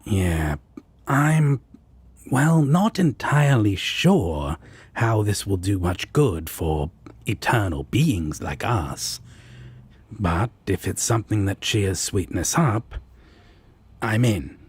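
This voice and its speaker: A man playing a teasing, easygoing devil roommate in an audio drama, with a husky, raspy voice and a drawling, fond manner. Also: lowish voice, expressive, slow, exaggerated voice. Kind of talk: roleplay audio drama, comfort scene.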